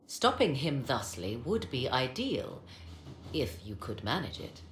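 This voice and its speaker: A woman's voice: extremely low pitch, sing-song, unhurried, full character voice. A woman playing a haughty, knowing witch adviser in a fantasy game, with arch, archaic diction. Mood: wry, haughty. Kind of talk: dramatic video game acting. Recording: roomy echo, faint background noise.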